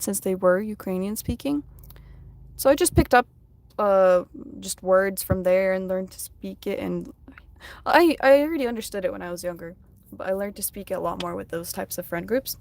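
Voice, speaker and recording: Soft-spoken woman chatting on a stream. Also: mid-range voice, no echo. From United States. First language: Russian. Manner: soft-spoken, chatty, reads viewer comments aloud. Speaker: live streamer. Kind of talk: casual live-stream chat while drawing. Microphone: phone.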